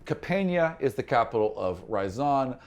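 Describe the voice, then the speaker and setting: A man's voice: medium pitch, big pitch swings, moderate pace. A man narrating a tabletop game as game master, joking and bantering with the players.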